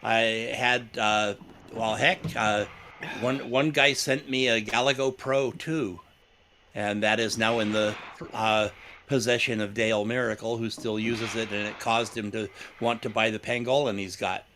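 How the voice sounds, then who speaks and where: A man's voice: lowish voice, steady tone, unhurried, bright, forceful tone. A man co-hosting a casual roundtable podcast, telling rambling, jokey stories. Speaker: podcast co-host. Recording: noisy home call audio.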